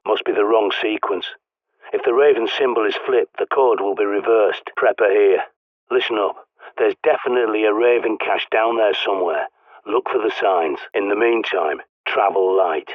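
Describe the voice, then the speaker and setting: A man's voice: fairly low pitch, dry recording. A man voicing a blunt, sardonic male survivalist in a video game, with a British accent, guiding someone over the radio. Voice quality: gruff, sardonic, swears often. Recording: dry tinny radio-like line.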